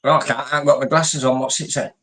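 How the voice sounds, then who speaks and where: A man's voice: medium pitch, level tone, very fast, sharp tone. Man, blunt, sweary, laddish banter. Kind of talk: casual banter.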